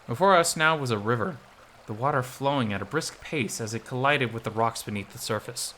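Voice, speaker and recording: Guy reading a visual novel story out loud, really bright expressive voice, bit of noise behind. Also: medium pitch, a little fast. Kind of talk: expressive first-person story narration.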